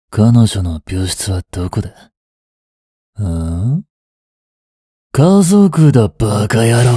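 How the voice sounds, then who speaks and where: An actor playing a rough, cocky mafia boss with hidden tenderness in an intimate audio drama, gruff and teasing in a drawl. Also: male voice, mid-range voice, mellow, no room sound, studio quality, exaggerated voice. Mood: menacing. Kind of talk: intimate Japanese-style dramatic voice acting aimed at women listeners. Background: music.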